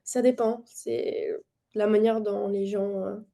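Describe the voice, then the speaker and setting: Creaky, mellow French woman, thirty. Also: mid-range voice, flat delivery, takes it slowly. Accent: French from France. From France. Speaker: podcast guest, engineer and investing content creator. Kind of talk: casual interview chat.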